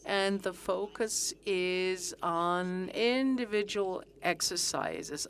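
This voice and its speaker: A middle-aged woman giving a conference presentation, speaking haltingly with frequent pauses and fillers. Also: medium pitch. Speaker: conference speaker on fitness training.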